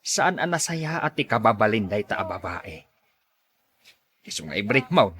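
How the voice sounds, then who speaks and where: A man acts out a blunt old father in an Ilocano radio drama. His voice is husky and bright, swinging up and down in pitch. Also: sing-song, normal speed, heavily acted. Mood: disapproving.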